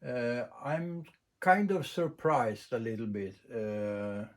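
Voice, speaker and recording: A man with an average-pitched voice explains audio equipment in an informal, unscripted way. He is a non-native English speaker and talks slowly, pausing often as he searches for the next phrase. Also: animated. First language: not English. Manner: halting, unscripted, with long hesitations.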